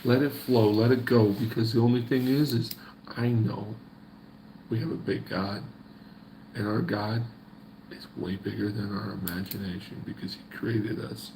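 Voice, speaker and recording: Gravelly-voiced man giving a faith pep talk. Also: fairly low pitch, fairly even intonation, moderate pace, dark tone, reverberant, slight background noise, poor audio. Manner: preachy, repetitive, folksy. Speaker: faith vlogger. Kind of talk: casual motivational talk to camera.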